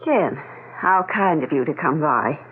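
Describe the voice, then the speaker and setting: A woman's voice: deep voice, lively intonation, unhurried, husky, full character voice. An actress playing an earnest, gracious grandmother, insistent and sure of herself, in a 1970s radio drama. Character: earnest grandmother in hospital, convinced of her premonitions. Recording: muffled, phone-like 1970s radio recording.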